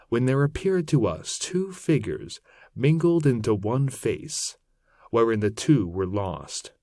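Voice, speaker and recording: Male narrator, epic poetry recitation, slow deliberate pace, animated intonation, solemn, theatrical, archaic English. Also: medium pitch, dry recording, studio quality. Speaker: narrator reading poetry aloud.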